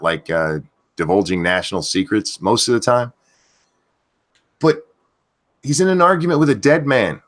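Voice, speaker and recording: A man's voice: on the low side, fairly bright tone. A man hosting a casual livestream chat, blunt and self-assured, swearing freely and restarting his sentences. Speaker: show host.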